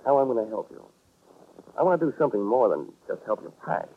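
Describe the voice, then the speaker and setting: A man's voice: medium pitch, big pitch swings, fast, slightly rough. A man playing a self-assured, wisecracking American private investigator in a 1940s radio drama, dry and hard-boiled in delivery. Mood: businesslike, wry. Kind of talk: 1940s radio detective acting. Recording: noisy, narrow-band 1940s radio recording.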